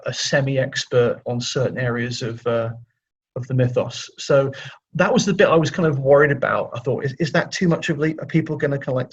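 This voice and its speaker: Husky-voiced man, slightly muffled recording. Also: mid-range voice. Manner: chatty, thinking aloud with frequent self-corrections. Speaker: interview guest, audio drama writer. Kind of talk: casual conversational interview answers.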